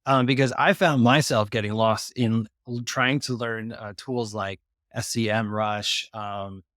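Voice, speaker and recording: A man's voice: lowish voice, fairly even intonation, a little slow, fairly bright tone, no room sound, studio quality. A man giving a casual, informal explanation as a guest presenter on a podcast. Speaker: guest presenter of a tool tip.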